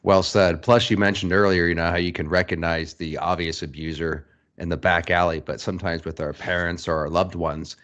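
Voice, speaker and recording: Male podcast host, low voice, tentative and apologetic when questioning. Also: flat delivery, fairly quick, a bit bright. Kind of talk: casual interview talk.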